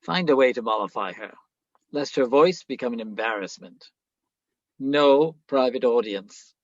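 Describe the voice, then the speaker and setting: A man's voice: medium pitch, a little slow, lightly acted. A man playing a cold, dismissive Pope in a radio play, giving curt, formal orders in a muffled, roomy recording.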